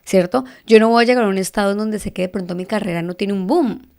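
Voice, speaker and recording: Thirties Colombian woman host with a Bogotá accent, gravelly edge, chatty and explanatory, middle pitch. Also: fast talker, a little mellow. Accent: Bogotá Colombian Spanish. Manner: chatty, asks listeners for agreement with frequent tag questions. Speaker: podcast host. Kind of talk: casual explanatory podcast talk. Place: living in Texas.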